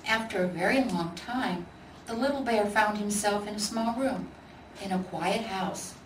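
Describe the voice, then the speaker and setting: A woman's voice: medium pitch, a little slow. American woman reading a storybook, echoey room. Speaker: volunteer story reader. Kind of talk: children's storybook narration. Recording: echoey room, distant mic, faint noise.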